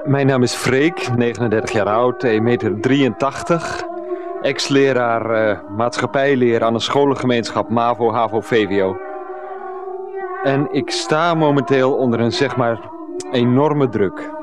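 An actor playing an earnest, pressured middle-aged ex-teacher with a Netherlands Dutch accent in a radio comedy drama, wordy and hesitant. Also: male voice, mid-range voice, unhurried, over music, close to an everyday voice. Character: Freek, pressured 39-year-old ex-teacher, earnest, henpecked by mother.

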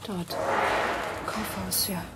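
Noisy, slow, muttering dazed survivor. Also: male voice, high-pitched, steady tone. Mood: dazed, searching. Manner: muttering, clipped fragments with pauses. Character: dazed lone survivor muttering through burning ruins. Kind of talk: radio play interior monologue in fragments. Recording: heavy background noise, off-mic.

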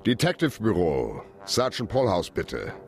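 Radio noir: hard-boiled male private eye, cynical and blunt, widely varying pitch, music underneath. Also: huge pitch swings, unhurried, heavily acted. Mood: cool, businesslike. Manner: blunt, slangy, cool. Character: tough, cynical, insolent male private detective. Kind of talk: German radio crime drama acting.

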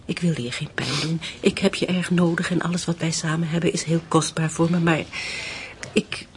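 A woman playing a guarded, gentle widow torn in love, in an intimate and restrained radio drama style. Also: low-pitched, steady tone, normal speed, a little hiss or hum, natural voice. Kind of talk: naturalistic radio drama acting.

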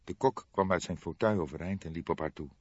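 A man's voice: low voice, brisk, breathy edge, no echo, muffled recording. A man reading a crime novel as scripted audiobook narration.

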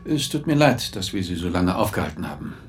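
An actor playing a courteous, businesslike police inspector with a husky voice in a German radio drama. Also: male voice, sits low, big pitch swings, fairly quick, music in the background. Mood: apologetic. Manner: polite, questioning, businesslike.

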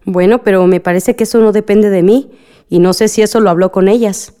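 A woman's voice: mid-range voice, steady tone, fairly quick, mellow, close to an everyday voice. A Mexican woman playing a principled, unyielding village mother in a radio soap opera, firm and formally polite. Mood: firm, indignant. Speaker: radio drama actor.

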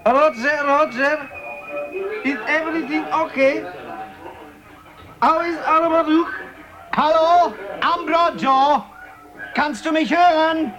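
High-voiced expedition helper woman. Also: takes it slowly, long pauses, lo-fi sound, music in the background. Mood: concerned, businesslike. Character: practical, caring woman supporting a polar expedition. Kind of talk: radio drama dialogue.

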